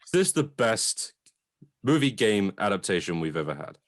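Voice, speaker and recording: A man's voice: fairly low pitch, very animated, moderate pace. A man co-hosting a film review podcast in casual chat, talking in an informal, slangy way.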